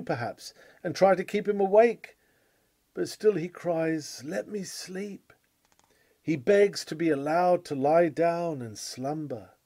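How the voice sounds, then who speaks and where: Mellow, higher-pitched man reading a sermon. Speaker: sermon reader. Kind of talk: read-aloud Victorian sermon.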